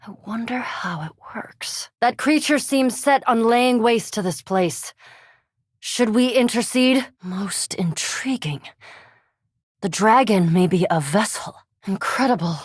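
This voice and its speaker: A woman's voice: a little high, animated, slow pace, breathy edge, dry recording, clean studio sound, full character voice. A woman voicing a proud, sceptical vampire scholar in a fantasy video game, speaking formally and precisely with cool disdain. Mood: wary, intrigued.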